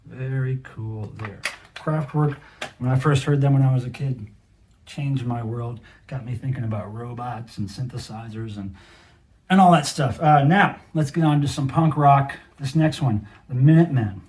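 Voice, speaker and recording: A man's voice: medium pitch, moderate pace, a little mellow. A middle-aged man presents items in a casual show-and-tell style. His voice sits in an ordinary middle register. He speaks straight to the viewer as an enthusiastic fan and host. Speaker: video host, cassette collector.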